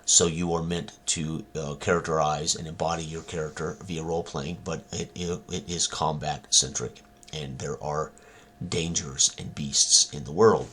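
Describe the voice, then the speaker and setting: A man giving an informal, rambling talk as a tabletop game designer, with a halting delivery and frequent hesitations. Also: little pitch movement, a bit bright. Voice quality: husky, very deep.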